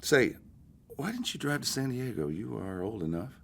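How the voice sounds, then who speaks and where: An actor playing an affable, folksy married man who teases and gently probes in a naturalistic audio drama. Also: medium pitch, very animated, normal speed, vocal fry, slight background noise. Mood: teasing, casual. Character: affable married man offering a hitchhiker a lift, quietly probing.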